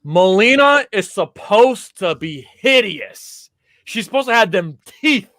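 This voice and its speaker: High, bright-voiced man in fan discussion. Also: sing-song, slow, dry recording, clean studio sound. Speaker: podcast panelist.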